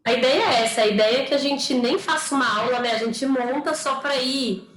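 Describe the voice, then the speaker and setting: A woman's voice: sits high, brisk, fairly bright tone. A woman speech therapist hosting an informal online study group, welcoming people in a chatty way and often asking "né?". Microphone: computer or phone on a video call. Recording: roomy echo, faint noise.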